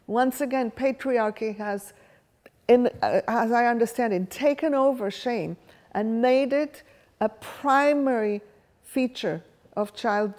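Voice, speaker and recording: A woman's voice: on the high side, darkish tone. A woman whose first language is Hebrew, leading a workshop and thinking aloud while she asks the room questions. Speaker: workshop facilitator. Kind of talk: live workshop talk with audience questions. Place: workshop room with a live audience.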